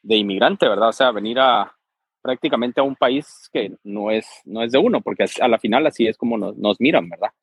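A man's voice: medium pitch, a little fast, slightly rough, dry recording. A man, a podcast guest speaking hesitantly and often tagging his sentences with a question. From Guatemala. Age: 30s. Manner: hesitant, keeps adding "¿verdad?". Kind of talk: casual interview.

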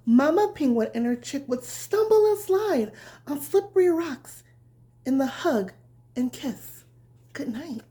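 A woman with a slow, mellow delivery reads a bedtime story as if to a small child. Her voice sits a little high and is breathy, often slipping toward a whisper. She keeps her phrasing simple and nurturing. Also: lively intonation. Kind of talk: reading a picture book aloud as a bedtime story, with asides to the listener.

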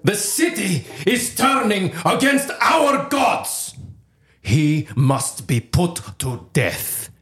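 An imperious Roman ruler played by a man in a religious audio drama. He speaks slowly and theatrically, with wide swings in pitch over a music bed, angry and commanding. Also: sing-song, a bit bright, full character voice. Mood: outraged. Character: stern pagan Roman governor, hostile to Christianity, imperious and angry.

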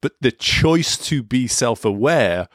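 A man hosting an interview, speaking in a measured, reflective way and adding frequent short affirmations. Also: mid-range voice, animated, fairly bright tone, dry recording, clean studio sound. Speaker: host. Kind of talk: conversational interview.

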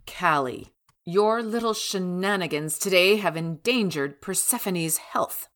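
A woman playing a haughty, coolly scheming doctor in an audio drama, speaking in a deliberate, condescending way. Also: fairly low pitch, a bit bright. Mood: coolly menacing.

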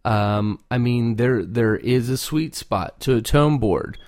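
Man, unhurried pace, medium pitch, informal how-to talk, repeats words for emphasis, dry close sound. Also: a little mellow, dry recording. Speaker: duck call maker giving advice. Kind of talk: informal off-the-cuff instructional talk.

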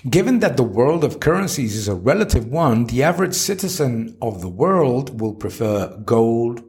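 A man's voice: mid-range voice, big pitch swings, normal speed, darkish tone. A man reading an opinion article aloud in an emphatic, argumentative way, as scripted commentary.

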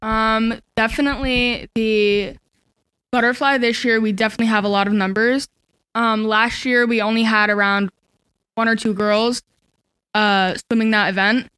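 A woman from the swim team answers interview questions, pausing with fillers. Her voice is smooth and clean. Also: on the high side, fairly even intonation, fairly bright tone. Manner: hesitant with fillers.

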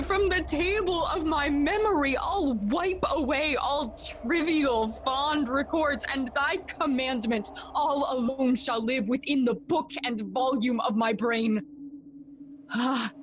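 A woman playing a grieving, feverish young prince in a Shakespearean audio drama, theatrical and deliberate. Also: high voice, slight background noise. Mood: anguished, vengeful.

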